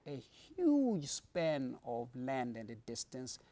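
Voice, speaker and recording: A man's voice: mid-range voice, wildly swooping pitch, slow pace, mellow. A middle-aged man from the Democratic Republic of the Congo, a pastor, answering studio interview questions in an earnest, explanatory way, with many fillers and restarts.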